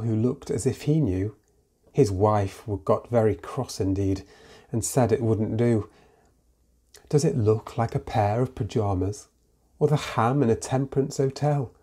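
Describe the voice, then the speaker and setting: A man's voice: mid-range voice, warm tone. A man reading poetry aloud and introducing it as a reader.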